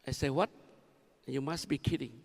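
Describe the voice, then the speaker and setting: Seventy-year-old Vietnamese man, gravelly, echoing hall. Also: mid-range voice, steady tone. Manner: halting, repetitive phrasing. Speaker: conference speaker, new board member. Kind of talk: informal speech with personal anecdotes. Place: large conference hall. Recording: echoey large hall sound.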